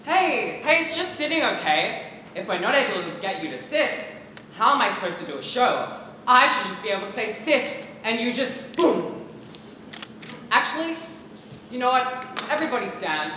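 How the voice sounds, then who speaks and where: A man's voice: very high-pitched, big pitch swings, moderate pace, bright, forceful tone, heavily acted. A teenage boy acting an exasperated, controlling director of a school musical, speaking emphatically on a theatre stage. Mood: commanding. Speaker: student stage actor. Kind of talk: scripted stage comedy acting. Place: large school theatre stage, audience present. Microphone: far camera in audience. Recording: echoey, noisy auditorium stage recording.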